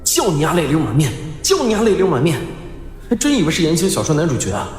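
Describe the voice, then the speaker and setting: A man's voice: on the high side, very animated, fast talker, a little mellow, soft background music. A man voicing a young bedridden patient's sardonic inner thoughts in a radio drama, with a reverb effect on the voice. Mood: wry. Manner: sardonic, self-mocking.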